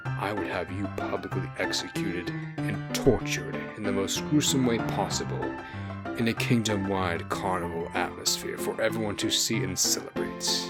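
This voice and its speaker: A man's voice: low-pitched, moderate pace, lightly acted. A man voicing a proud, menacing fantasy king with a pompous, formal, imperious manner. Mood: brooding menace. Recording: music bed behind the voice.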